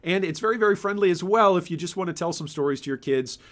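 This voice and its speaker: A man's voice: high voice, quick pace, a bit bright. A man in his thirties, an author and host, reading a children's story aloud and presenting his book.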